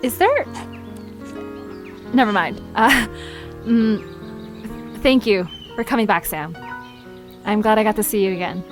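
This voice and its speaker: A woman's voice: medium pitch, lively intonation, slow, pauses often, faint music behind. A woman playing a friendly, tender-hearted and slightly nervous young woman in an audio drama, speaking sincerely. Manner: hesitant, sincere. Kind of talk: audio drama character acting.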